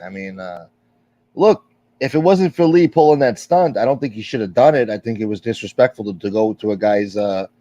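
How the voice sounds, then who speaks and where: A middle-aged man hosting a casual livestream, rambling through viewer comments with plenty of fillers and blunt swearing. His voice is dark and mellow, and the dull, lo-fi audio sounds dry, with no room around it. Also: on the low side, dry recording. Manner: rambling, candid, profane, with frequent fillers. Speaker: livestream host.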